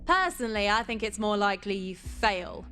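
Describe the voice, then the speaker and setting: A woman playing a cocky, crude, menacing female pirate in a video game, with a taunting, unhurried delivery. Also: medium pitch, sing-song, fairly bright tone, faint music behind. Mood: amused, threatening.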